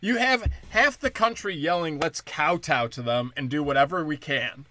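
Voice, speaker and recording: A young man, a stand-up comedian, rambling crudely and mockingly through a solo podcast, breaking into high mimicking voices. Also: normal speed, sharp tone.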